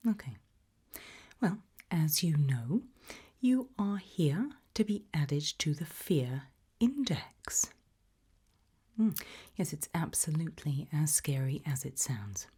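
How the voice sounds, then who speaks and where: A woman softly whispering an ASMR roleplay, questioning the listener in a deliberate, gently ominous way. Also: sits low, sing-song. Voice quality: soft breathy whisper, mostly unvoiced.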